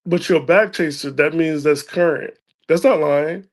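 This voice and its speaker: High-voiced man, joking podcast host. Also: moderate pace. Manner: joking, laughs often. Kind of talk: casual chat.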